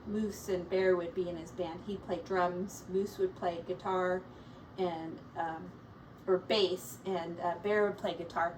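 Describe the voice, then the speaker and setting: A woman teacher reading a children's story aloud, explaining things gently and simply as she goes. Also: medium pitch, distant mic, slight background noise, rough recording.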